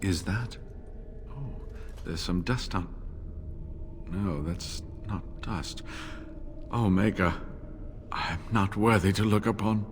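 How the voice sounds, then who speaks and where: An actor playing an earnest, devout scholar in a video game, speaking in a halting, eager manner. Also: male voice, low-pitched, noisy background. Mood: awed, curious.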